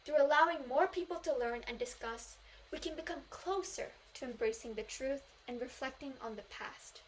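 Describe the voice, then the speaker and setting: A woman's voice: sits high, moderate pace, a little hiss or hum, rough recording. A Korean teenage girl, a native Korean speaker, delivering an earnest memorized speech in English at a student contest, stressing key phrases. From South Korea. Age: teens.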